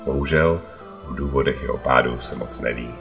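Very deep male travelogue narrator over music. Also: steady tone, vocal fry, a bit bright.

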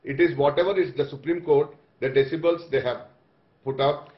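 Man, middle-aged, Indian accent, halting political debater, roomy echo, lo-fi video-call audio. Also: fairly high pitch, over a noisy crowd. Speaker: political party spokesperson. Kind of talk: TV debate, defending a position. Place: small room, indoors, on video call. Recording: echoey, dull video-call sound.

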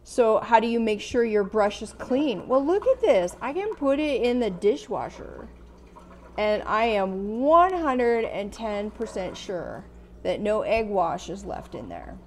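A woman's voice: medium pitch, very animated, unhurried, a little mellow, a little hiss or hum. A middle-aged woman chatting casually while demonstrating kitchen tools, repeating phrases and often tagging on "right?". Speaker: vlogger. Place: home kitchen, indoors. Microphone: earphone headset mic, phone held close.

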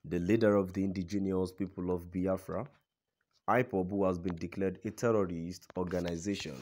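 A man's voice: low-pitched, fairly even intonation, normal speed, a little mellow, a little hiss or hum. A man narrating a scripted news report in a formal read-aloud manner.

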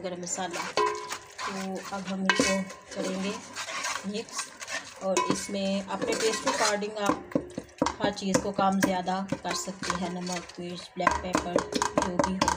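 A woman walks viewers through a home recipe in a relaxed, homely way, her pitch staying flat and even. She is filmed on a phone over the pot. Loud frying and stirring noise fills the kitchen recording. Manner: homely step-by-step narration while cooking. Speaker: cooking vlogger. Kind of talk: home cooking demonstration. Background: frying, sizzling and stirring sounds.

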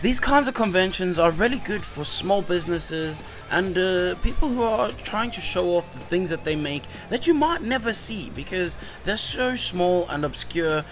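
A man in his thirties talks through his vlog in a loose, rambling way, as if chatting with a friend. His voice sits a little high for a man. Light background music plays beneath the voice-over. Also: moderate pace, few pauses. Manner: relaxed, rambling, easygoing. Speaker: vlogger and hobby shop owner.

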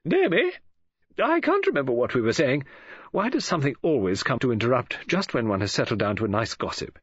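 A woman's voice: extremely low pitch, sing-song, brisk, slightly rough, no room sound, muffled recording, pitched above the performer's own voice, full character voice. A man voicing a chatty, flustered middle-aged English woman novelist in an audiobook, with a gushing, breezy manner.

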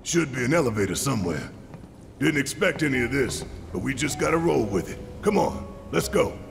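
Gravelly, brash rebel fighter. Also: male voice, medium pitch, sing-song, fairly quick, slight background noise. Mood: determined. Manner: loud, colloquial, forceful. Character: big, brash, hot-blooded male rebel fighter. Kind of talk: video game cutscene acting. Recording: reverberant hall, music behind.

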